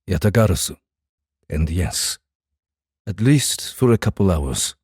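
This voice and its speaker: A man playing a calm, guarded and commanding samurai in a fantasy audiobook, speaking formally and deliberately. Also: sits low, slightly rough, no echo, studio quality, heavily acted. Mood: grave, guarded. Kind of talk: dramatized fantasy audiobook acting.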